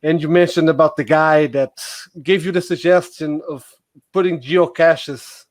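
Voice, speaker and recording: A man's voice: a little high, steady tone, measured pace, no echo. A man from Portugal with a Portuguese accent, hosting a casual interview and putting questions to a guest. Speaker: stream host, interviewer.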